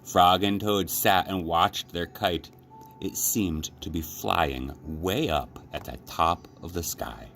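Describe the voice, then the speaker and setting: A man reading a children's storybook aloud as a librarian, with expressive, measured narration. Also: low-pitched, faint music behind. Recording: clearly noisy background.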